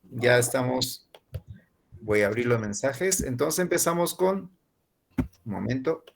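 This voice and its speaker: Gravelly-voiced man running an online class. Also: mid-range voice, normal speed. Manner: switches between Spanish and English, gives instructions. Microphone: video call.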